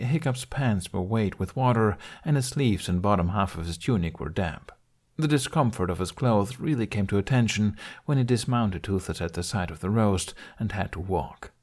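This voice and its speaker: A man reading a scripted story aloud as an audiobook narrator. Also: fairly low pitch.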